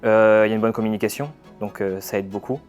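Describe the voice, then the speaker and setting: A man's voice: lowish voice, fairly even intonation, fast talker, darkish tone, soft background music. A man in his twenties, a civil servant, giving a testimonial in an interview with fillers. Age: 20s.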